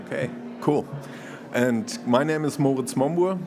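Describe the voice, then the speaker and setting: A man from Germany opening a conference talk, mid-pitched and friendly. He hesitates often, restarts his sentences and laughs easily as he goes. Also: a little slow, music in the background. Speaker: conference speaker. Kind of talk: conference presentation.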